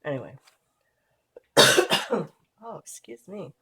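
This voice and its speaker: A woman's voice: sits low, slow, slight background noise. A woman in her thirties with a cold that makes her sound nasal, chatting casually through a craft tutorial and trailing off as she works. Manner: relaxed, chatty, trails off while working. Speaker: scrapbooking vlogger.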